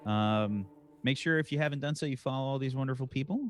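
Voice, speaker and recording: A man hosting a tabletop game stream as game master, relaxed and hesitant with many fillers. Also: medium pitch, fast, slight background noise.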